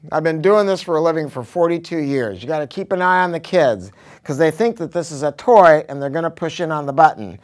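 An American man in his sixties giving a casual, unscripted product pitch as a hi-fi dealer, with an animated, emphatic, slangy delivery. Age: 60s. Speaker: hi-fi dealer presenting a product review. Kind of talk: casual unscripted sales talk. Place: carpeted audio showroom with curtains and acoustic panels.

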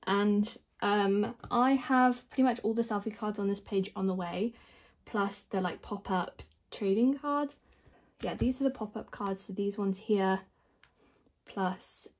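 Croaky-voiced young woman rambling casually. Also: medium pitch, a little mellow. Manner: chatty, self-deprecating, rambling, thinking aloud. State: croaky voice after concert screaming. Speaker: k-pop collector vlogger. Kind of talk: casual rambling narration over binder organizing. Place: indoors at a table, filming the binder from above.

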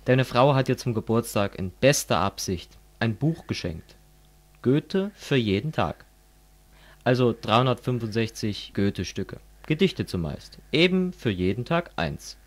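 A middle-aged man from East Germany in a scripted political dialogue, explaining things in a measured way. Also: fairly low pitch. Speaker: dialogue partner in a political audio piece.

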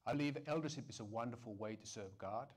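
A middle-aged man giving a formal, measured scripted self-introduction to camera on a lapel mic. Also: medium pitch. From Finland or Estonia. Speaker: church elder candidate. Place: indoor studio set with dark backdrop.

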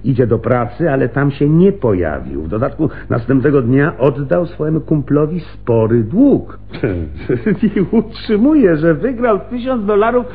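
Sly, drawling veteran police lieutenant. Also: male voice, on the high side, big pitch swings. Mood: sardonic pressing. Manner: sardonic, insinuating, drawling with theatrical pauses. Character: cunning, sardonic veteran male homicide police lieutenant. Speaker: actor in a radio play. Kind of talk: Polish radio drama acting. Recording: muffled phone-like radio recording, hum.

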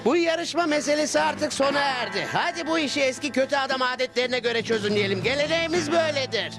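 A boastful little Western outlaw boss for a cartoon. The voice is extremely high, excitable and short-tempered, giving orders over loud music. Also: male voice, quick pace, gravelly, exaggerated voice. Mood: boastful, bossy. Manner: bossy, excitable. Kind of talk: Turkish-dubbed TV cartoon acting.

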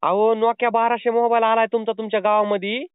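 Fast, insistent man on a tinny phone line. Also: high-pitched, flat delivery, clear, resonant tone, no echo. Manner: insistent, pleading, playful. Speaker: prank caller posing as a delivery man. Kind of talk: prank phone call. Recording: tinny phone call recording.

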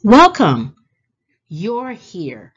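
A woman reading a children's storybook aloud in a deliberate, animated manner, drawing out words and pausing. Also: medium pitch, slightly rough, muffled recording. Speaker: children's book read-aloud host. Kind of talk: expressive storybook read-aloud for young children.

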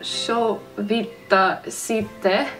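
Young German-accented woman, chatty and self-mocking vlogger, slightly off-mic with soft music behind. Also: medium pitch, fairly even intonation, recorded from a distance, low-quality recording. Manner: chatty, self-mocking, sounds out words slowly. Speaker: YouTuber. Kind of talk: casual language-learning vlog. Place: bedroom, indoors. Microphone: studio mic off camera.

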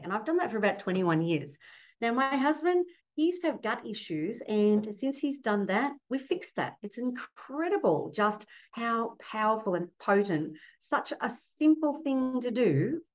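A middle-aged woman with an Australian accent talks over a dull, lo-fi video call line from her home office. She speaks earnestly and warmly as a guest, often laughing as she explains things. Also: fairly high pitch, expressive, moderate pace. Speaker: fertility doctor guest. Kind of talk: casual interview on a video call. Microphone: laptop webcam mic on a video call.

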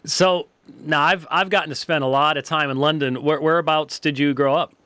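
A man's voice: on the high side, steady tone, fairly quick, sharp tone, no room sound. A man in his fifties with an American accent, hosting a casual conversational interview. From United States. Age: 50s. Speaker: interviewer, host. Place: small book-lined indoor studio. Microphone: close studio microphone.

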